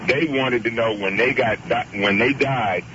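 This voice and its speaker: A deep-voiced man calling in to a radio talk show, earnest and wordy, often cutting in to make his point. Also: unhurried. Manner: earnest, wordy, interrupts with repeated "wait a minute". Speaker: caller. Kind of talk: radio call-in chat. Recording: dull radio audio with clear hiss.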